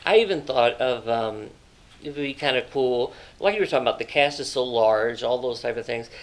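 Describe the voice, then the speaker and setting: A man in his thirties hosting an interview in a small studio, eager and chatty with quick agreements. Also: medium pitch. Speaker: talk show host. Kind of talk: casual interview. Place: small studio set with couch.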